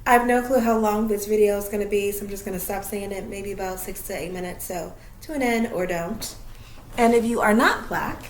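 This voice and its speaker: A woman's voice: medium pitch, a little fast, a little hiss or hum. A woman from Minnesota giving a blunt, opinionated vlog rant, swearing casually. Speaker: vlogger. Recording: roomy echo.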